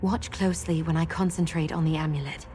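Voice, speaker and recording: An actress playing an earnest young woman in a video game who seeks her lost memories, speaking in a measured, sincere way. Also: sits low, steady tone, warm tone, slight background noise, natural voice. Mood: determined. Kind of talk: video game character acting.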